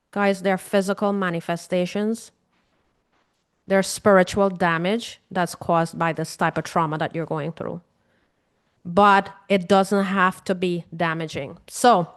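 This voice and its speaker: A woman from Guyana with a Guyanese accent talks straight to her audience as a healing coach. She is earnest and repetitive, circling back to her points and asking her listeners rhetorical questions. Her pitch sits in the middle of the usual range for a woman. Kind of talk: casual direct-to-camera talk.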